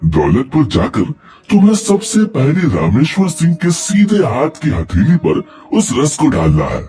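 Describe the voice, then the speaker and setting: A man's voice: fairly high pitch, wildly swooping pitch, unhurried, warm tone, soft background music, voice pushed up. A man voicing an old hermit guru in a cartoon moral story, giving formal, commanding and scheming instructions. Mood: instructive, scheming.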